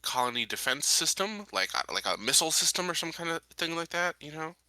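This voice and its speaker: A man's voice: a little high, big pitch swings, brisk, a loud crowd. A man playing a tabletop game, suggesting strategy to the other players in casual chat.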